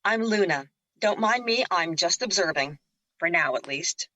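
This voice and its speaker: A woman plays a teasing, fearless female spirit in an audio drama. Her voice is bright and lively, with a wry, needling manner. The recording is dry and a little dull. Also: lowish voice, a little slow, dry recording, heavily acted. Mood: wry, unafraid. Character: Luna, playful, teasing, unafraid female spirit.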